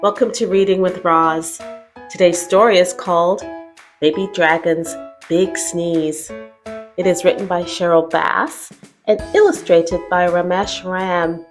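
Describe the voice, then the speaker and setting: A woman hosting a children's storytime, reading a rhyming picture book aloud gently and expressively. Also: lowish voice, measured pace, faint music behind. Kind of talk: rhyming read-aloud for kids.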